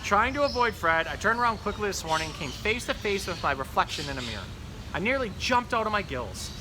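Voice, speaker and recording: A man's voice: high-pitched, sing-song, quick pace, noisy background. A man voicing an exasperated, fussy pet goldfish in a children's storybook read-aloud, with dry, grumbling delivery. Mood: exasperated, frazzled.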